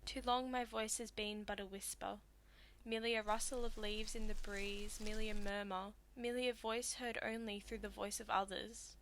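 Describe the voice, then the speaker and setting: A woman's voice: medium pitch, level tone, normal speed, a little hiss or hum, natural voice, rough recording. A woman performing a theatrical monologue as a tragic young Greek princess, wronged and anguished, with impassioned, declamatory delivery. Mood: sorrowful, haunted. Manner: impassioned, declamatory, swinging from hushed to outcries.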